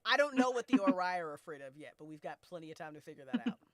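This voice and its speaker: A woman's voice: lowish voice, lively intonation, very fast, a crowd in the background. A woman hosting a casual group chat about a podcast, talking in a slangy way and swearing freely. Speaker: podcast host and co-creator.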